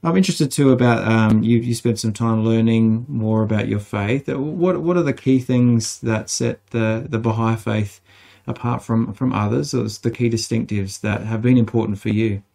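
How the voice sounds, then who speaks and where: A man interviewing a guest, asking measured, searching questions. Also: on the low side, vocal fry, warm tone.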